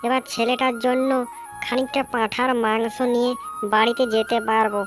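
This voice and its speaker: A woman playing a caring, eager poor village mother in an animated story. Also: a little high, moderate pace, over music, lightly acted. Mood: hopeful.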